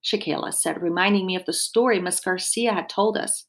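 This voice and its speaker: A woman's voice: fairly low pitch, fairly quick. Woman reading a storybook aloud. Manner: expressive, explains simply as if to young children. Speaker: dietitian reading a children's book aloud. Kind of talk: storybook read-aloud with explanatory asides.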